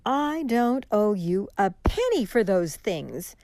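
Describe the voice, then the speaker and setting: Woman acting haughty penny-pinching customer, scolding, radio mystery, slow delivery, animated intonation. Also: mid-range voice, no echo. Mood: indignant. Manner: curt, scolding, dismissive. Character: haughty, penny-pinching female garage customer, curt and indignant.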